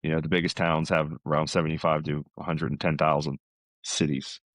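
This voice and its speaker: A man's voice: a bass voice, little pitch movement, brisk, fairly bright tone. A middle-aged American man chatting casually, telling stories in a rambling way. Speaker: podcast guest, salesman. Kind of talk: casual chat.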